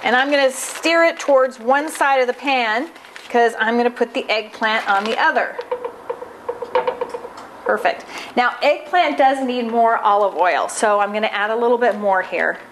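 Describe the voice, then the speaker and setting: A woman's voice: fairly high pitch, normal speed, a bit bright. A woman in her forties hosting a casual cooking demonstration in a large home kitchen, chatting upbeat while she preps food with her hands. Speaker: cooking show host. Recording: audible background noise.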